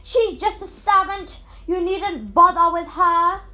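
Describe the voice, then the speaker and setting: Female reader acting bratty young stepsister, high-pitched, sharp, scornful, whiny, storybook. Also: takes it slowly, soft background music, heavily acted. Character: snobbish, spiteful young stepsisters. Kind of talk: storybook character voice.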